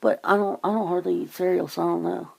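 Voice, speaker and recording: A woman chats casually in a lowish voice full of heavy vocal fry. She rambles from thought to thought, teasing and swearing freely as she goes. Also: fairly even intonation, fast, darkish tone, low-quality recording. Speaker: ASMR channel host. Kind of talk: casual phone chit-chat.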